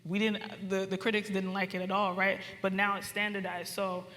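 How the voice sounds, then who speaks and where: A woman from Baltimore, born in Trinidad and Tobago, speaking as a panelist in a measured, deliberate, earnest way. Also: mid-range voice, little pitch movement, a bit bright. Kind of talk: panel discussion. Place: conference hall. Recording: echoey hall with long reverb.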